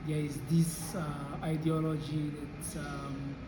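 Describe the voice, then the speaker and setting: A young man from Sierra Leone, a student, answering interview questions thoughtfully with pauses. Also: fairly high pitch, little pitch movement. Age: young adult. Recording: echoey room with clear background noise.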